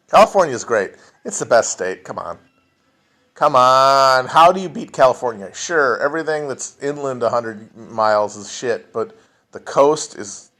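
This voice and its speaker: Brief: man, podcast host type, loose profane solo stream talk, restarts often, trails off, mid-pitched voice. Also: fairly bright tone. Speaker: podcast host answering chat. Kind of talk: casual livestream chat. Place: home, alone at a phone or computer.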